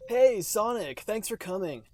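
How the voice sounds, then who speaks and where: A man voicing an excitable, fretful cartoon game creature in a comic fan fiction reading, with exaggerated dramatic delivery. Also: high-pitched, unhurried. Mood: anxious, earnest.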